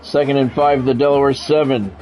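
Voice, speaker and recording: A man's voice: mid-range voice, fairly even intonation, fairly quick, darkish tone, soft background music. A man doing football play-by-play as a game streamer, in short clipped announcer-style calls mixed with casual chat to viewers.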